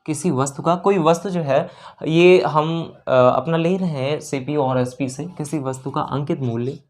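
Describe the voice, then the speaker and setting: A man's voice: a little high, steady tone, normal speed, darkish tone. A man teaching an exam-prep lesson step by step, repeating phrases and mixing in English terms. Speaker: maths teacher.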